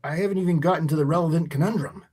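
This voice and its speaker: A man playing an earnest, nerdy teenage sci-fi superfan with a pedantic, halting way of talking in a live radio-theatre read. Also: fairly high pitch, darkish tone, slightly rough. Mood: deflated.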